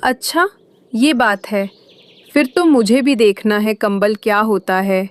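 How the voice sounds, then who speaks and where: A woman's voice: medium pitch, slow, natural voice. A woman voicing a young boy crow in a cartoon, curious and envious, with a childlike wheedling manner. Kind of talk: Hindi moral cartoon voice acting.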